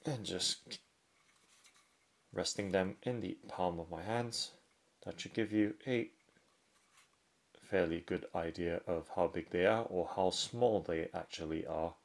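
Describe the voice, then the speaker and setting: A man giving unhurried, step-by-step product unboxing commentary indoors at a tabletop. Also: deep voice. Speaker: product reviewer. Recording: faint handling and crinkling noise. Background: faint clicks and packaging crinkle.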